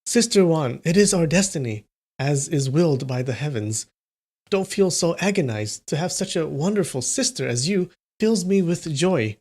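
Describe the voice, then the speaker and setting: A man doing a gentle, soothing young man. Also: a little high, lively intonation, moderate pace. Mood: consoling. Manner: soft and soothing. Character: gentle young man consoling his sister.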